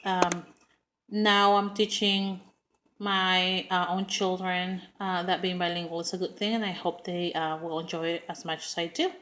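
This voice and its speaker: A woman's voice: fairly low pitch, little pitch movement, measured pace, a bit bright, a little hiss or hum. A middle-aged woman with a Spanish accent giving a prepared class speech, earnest and with frequent ums and uhs.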